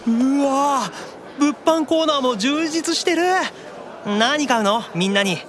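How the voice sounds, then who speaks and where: A man's voice: extremely high pitch, animated, unhurried, fairly bright tone, a faint crowd, heavily acted. An actor playing a geeky, shy young male demon in an anime-style audio drama, needling and boyish, stammering when embarrassed. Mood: curious, chatty.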